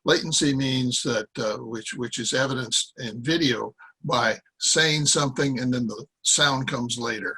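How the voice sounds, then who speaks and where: An older American man speaks in a husky voice at a middling pitch. He gives an informal talk over a video call, rambling and often halting to correct himself. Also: pushed and bright, poor audio. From Iowa, United States. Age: 70s. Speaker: guest speaker, former journalist. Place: small cluttered room with boxes, indoors. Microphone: computer microphone on a video call.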